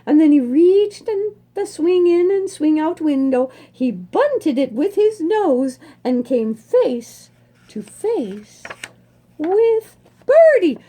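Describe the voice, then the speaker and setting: A woman storyteller with a high, mellow voice reads a children's book aloud. She takes her time, savoring the words and pausing for dramatic effect. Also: very animated.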